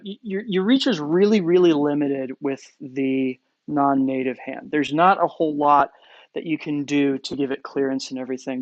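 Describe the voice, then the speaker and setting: A man with a Coloradan accent talks through a live-stream Q&A tutorial, full of fillers and restarts. His voice sits a touch high and sounds dry and close. The audio is slightly dull and lo-fi. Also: dry recording. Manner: hesitant, many fillers and restarts. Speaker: poi spinning instructor. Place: small indoor space.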